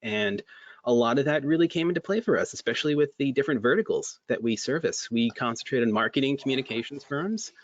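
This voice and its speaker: A man's voice: mid-range voice, animated, quick pace, no echo, lo-fi sound. A man speaking as a guest in a webinar, talking casually about his career, with many restarts and fillers. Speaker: guest, MSP creative director.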